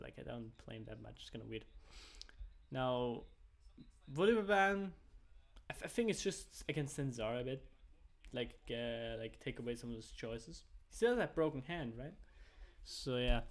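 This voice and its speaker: German-native young man casting games casually. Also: medium pitch. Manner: rambling, heavy use of "like" and restarts. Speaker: amateur esports caster. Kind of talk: casual solo esports commentary.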